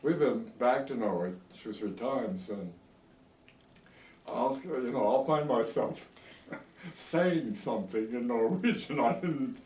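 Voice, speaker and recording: A man's voice: medium pitch, lively intonation, slow, darkish tone. Elderly American man, echoey distant recording. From United States. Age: 80s. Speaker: oral history interviewee. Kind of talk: reminiscing in a casual interview. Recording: echoey room, distant microphone.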